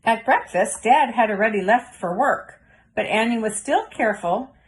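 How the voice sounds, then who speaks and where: A woman's voice: on the high side, animated, normal speed, slight background noise. A woman reading a storybook aloud for children, with chatty asides to young listeners. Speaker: storybook reader. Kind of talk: read-aloud narration for children.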